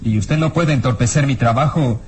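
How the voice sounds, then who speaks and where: An actor playing a composed, dutiful police inspector in a vintage radio drama, formal and insistent in manner. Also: male voice, medium pitch, moderate pace, background noise, natural voice. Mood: firm, unyielding.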